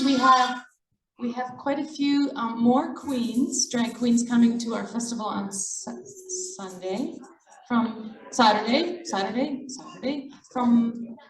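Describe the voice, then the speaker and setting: A woman informally hosting a children's singalong, speaking to young children with gentle repetition. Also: fairly high pitch, a little hiss or hum. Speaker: children's storytime host. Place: echoey indoor room, library event. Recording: echoey room, distant mic.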